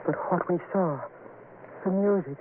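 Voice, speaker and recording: A woman's voice: lowish voice, a little slow, lightly acted. A woman playing a frightened wife in an old radio horror drama, with dramatic delivery. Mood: fearful. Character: Anne, frightened wife and mother. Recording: muffled, hissy old radio recording.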